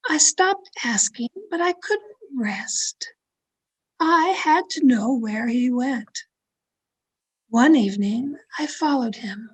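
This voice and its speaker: A woman playing an obsessive, lovestruck mystery novelist in melodramatic old-time radio style, with pleading, deliberate delivery. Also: high-pitched, husky, exaggerated voice, low-quality recording. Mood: suspenseful narrating. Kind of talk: 1940s radio mystery acting and narration. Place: at home, online video-call performance.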